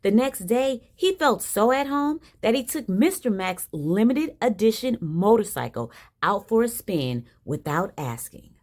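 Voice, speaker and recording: Very animated woman reading a storybook. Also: medium pitch, measured pace. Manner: expressive, dramatic emphasis. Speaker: storybook reader. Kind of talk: animated children's read-aloud.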